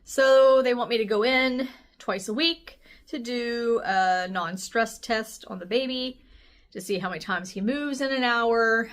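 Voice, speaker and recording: A woman in her forties with a slightly raspy voice, chatting casually and candidly as a vlogger giving a personal update. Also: medium pitch. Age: 40s. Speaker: health vlogger.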